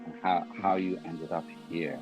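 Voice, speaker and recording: A man hosting a casual interview, introducing a guest in a friendly, halting way with stacked restarts. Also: low-pitched, little pitch movement, music in the background. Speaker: podcast host. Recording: muffled lo-fi audio.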